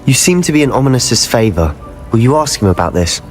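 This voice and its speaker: A man's voice: mid-range voice, sing-song, a little fast, soft background music, lightly acted. An actor playing a bold, bookish young male wizard student in a video game, speaking. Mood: determined. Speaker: game character.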